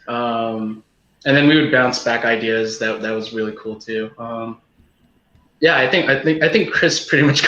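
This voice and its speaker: A man's voice: medium pitch, a bit bright, reverberant, dull audio. A man talking casually and a little hesitantly about teamwork on a sound project, over a video call. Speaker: audio drama sound team member.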